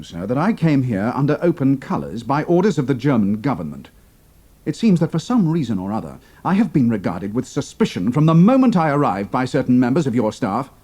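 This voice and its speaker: A man voicing a young British military pilot, composed and quick-witted, speaking with formal, clipped, respectful indignation in a dramatised adventure story. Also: medium pitch, a little mellow, breathy edge, pitched above the performer's own voice. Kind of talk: dramatised audiobook character dialogue.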